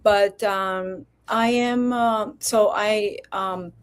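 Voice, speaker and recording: A woman's voice: mid-range voice, fairly even intonation, very slow, clear, resonant tone. A middle-aged woman from Poland, a physician guest telling her story in a candid, reflective way with many hesitations. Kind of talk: candid personal interview talk.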